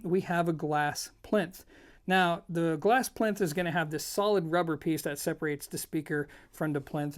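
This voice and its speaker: A product reviewer hosting an audio gear video. The voice sits at a middle pitch. The delivery is informal and explanatory, speaking straight to the viewers. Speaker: product reviewer and show host.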